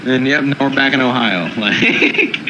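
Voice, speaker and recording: Maryland guy laughing and cussing thru a stream chat, middle pitch, lots of noise. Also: unhurried, dull audio. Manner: laughs often, swears, agrees loudly. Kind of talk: casual chat during a game stream.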